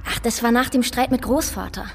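A woman's voice: fairly high pitch, a little fast, long reverb tail, a little hiss or hum, lightly acted. A female performer playing a precocious, nosy little girl detective in a German radio crime drama, speaking bluntly and without sentiment. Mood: blunt, secretive. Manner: blunt, matter-of-fact, unsentimental.

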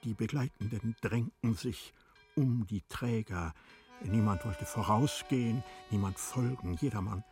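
A man narrating a tragic classic story in a radio play, in a solemn, measured, dramatic manner. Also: on the low side, music in the background. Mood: grave.

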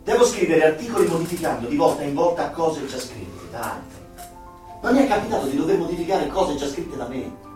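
An actor playing an anxious, nostalgic rebel clerk in an Italian dystopian radio drama, earnest and swinging from hushed to heated. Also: male voice, on the high side, big pitch swings, fairly quick, recorded from a distance. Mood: troubled. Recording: echoey, off-mic radio play over music.

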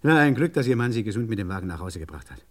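An actor playing an urgent, insistent French car mechanic on the phone in a 1950s German radio play. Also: male voice, on the low side, very animated, racing. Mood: relieved, excited. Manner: urgent, insistent, muttering to himself in asides.

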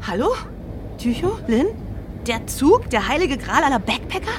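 A woman's voice: sits high, very animated, moderate pace. An actress playing an agitated, foul-mouthed young woman backpacker on the run, urgent and defiant, in a radio drama. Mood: mysterious, insistent. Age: young adult. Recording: noisy train ambience, people around.

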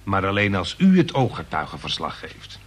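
A man's voice: low-pitched, wildly swooping pitch, moderate pace. An actor playing a dutiful grown son in a radio play, humoring his mother with dry, pompous, formal and wordy speech. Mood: deferential, wry. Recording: noisy old radio play recording.

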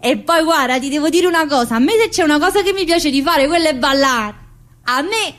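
A woman's voice: high voice, fairly quick, fairly bright tone. An actress playing a talkative, needy, restless young mother in prison, in a theatrical monologue with colloquial southern Italian speech and insistent repetitions. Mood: exuberant. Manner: colloquial dialect, insistent repetitions, addressing a listener. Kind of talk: theatrical monologue, radio drama.

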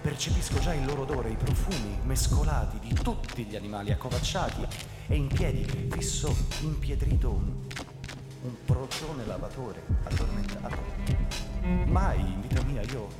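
An actor playing a brooding police android hunter who narrates his own story in a radio drama, halting and intense with deliberate pauses. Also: medium pitch, expressive, lightly acted. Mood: stunned, intense. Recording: echoey hall reverb, loud music underneath. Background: loud live music.

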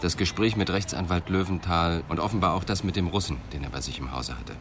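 Actor as low-voiced, persistent police inspector. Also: male voice, natural voice. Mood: musing, grave. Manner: measured, insistent questioning. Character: calm, probing, persistent male police inspector. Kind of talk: German radio drama acting. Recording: faint background noise.

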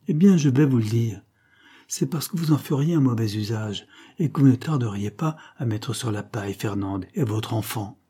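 A man's voice: mid-range voice, lively intonation, normal speed, slightly rough. A man voicing a stern older aristocrat who scornfully accuses his nephew. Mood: stern accusing. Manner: forceful, indignant reproach.

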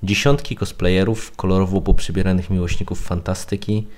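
A man's voice: low voice, steady tone, fairly quick, darkish tone. A man speaking as a podcast guest, giving a prepared spoken review.

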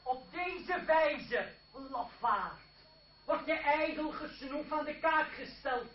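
A woman's voice: high-pitched, takes it slowly. A woman playing a stage performer who grandly mocks a boastful coward in theatrical declamation, in an old radio drama. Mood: mocking, declamatory. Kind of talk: play within a radio drama. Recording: echoey muffled stage, distant, crowd noise.

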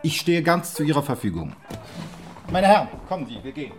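A man's voice: a little high, very animated, brisk, over music. A man acting a formal, officious police commissioner in a German radio play, stiff and authoritative. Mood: obliging.

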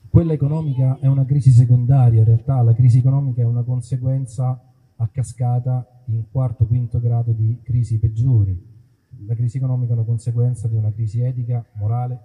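A man hosting a live outdoor show in an olive grove, talking to the crowd with wry, mock-solemn stage patter. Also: mid-range voice. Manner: wry, mock-solemn, playfully self-interrupting. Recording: husky voice over a tinny, phone-like line.

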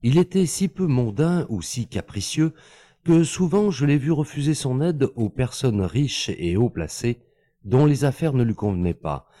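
A man reading a classic novel aloud as an audiobook, with measured, expressive storytelling. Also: medium pitch, no echo, clean studio sound. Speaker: audiobook reader. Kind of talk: scripted narration.

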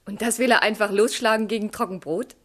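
An actress playing a gentle young lady in a German radio drama, fond and softly admonishing, with a husky, genteel voice. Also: medium pitch, steady tone, fast, lightly acted. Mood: teasing, kind. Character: gentle young woman, fond, chiding, composed.

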